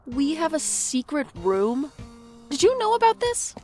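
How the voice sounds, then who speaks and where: A woman's voice: high voice, sing-song, unhurried, darkish tone, faint music behind. A woman playing an anxious, sarcastic young shop worker in an audio drama, with wry, exasperated narration and dialogue. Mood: exasperated, startled. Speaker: podcast drama lead and narrator.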